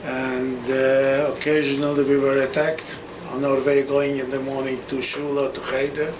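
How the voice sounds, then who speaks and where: An elderly man speaks with a Hungarian accent in a mid-range voice. He is being interviewed as a survivor and gives short, halting replies. Clear noise runs behind him on the recording. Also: medium pitch, little pitch movement. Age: 80s. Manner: brief, halting answers. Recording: clearly noisy room recording.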